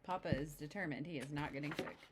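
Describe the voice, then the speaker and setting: A woman with a slightly low voice reads a children's storybook aloud. She slips into chatty asides, explaining things simply for young listeners. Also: fast, background noise. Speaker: storybook reader.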